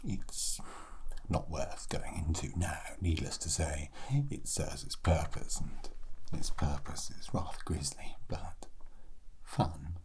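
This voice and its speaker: A man performing a sassy undead skeleton for an ASMR fantasy roleplay. The voice is extremely deep and mellow, hushed and often whispered. He speaks with great slowness and wry, morbid pauses, like a scholar who considers himself eternal. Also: fairly even intonation, full character voice. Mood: proud, musing. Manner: slow, deliberate, wry, theatrical pauses. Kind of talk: ASMR character roleplay monologue.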